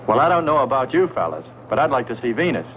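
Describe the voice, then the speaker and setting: A man's voice: mid-range voice, very animated, fast talker, narrow, tinny audio, lightly acted. An actor playing an eager, plain-spoken male crewman in a 1960s science fiction film, with a matter-of-fact delivery. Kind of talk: 1960s sci-fi film acting. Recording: thin band-limited old film soundtrack, faint noise.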